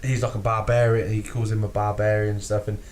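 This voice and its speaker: A man's voice: fairly low pitch, fairly quick, slight background noise. A British man co-hosting a casual podcast, swearing freely, laughing often and acting out lines. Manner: animated, sweary, laughs often, acts out quotes. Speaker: podcast co-host.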